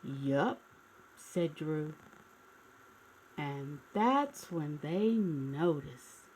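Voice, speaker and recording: An animated woman reads a children's picture book aloud as a story time host. Her husky voice slips into creak and swings widely in pitch, and she takes her time, pausing to put questions to her young listeners. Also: a little hiss or hum, low-quality recording. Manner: animated, asks listeners questions, dramatic pauses.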